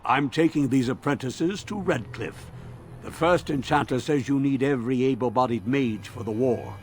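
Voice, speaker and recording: A man's voice: mid-range voice, moderate pace, lightly acted. A man playing a commanding, dutiful mage instructor giving firm direction in a fantasy video game. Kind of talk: dramatic video game acting.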